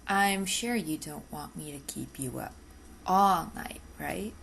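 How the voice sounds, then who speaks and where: A woman's voice: low voice, takes it slowly, gravelly, a bit bright, exaggerated voice. A woman voicing an obsessive, possessive but politely spoken female vampire lab technician in an ASMR roleplay, with teasing, hesitant asides. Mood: polite, eager. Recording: faint background noise.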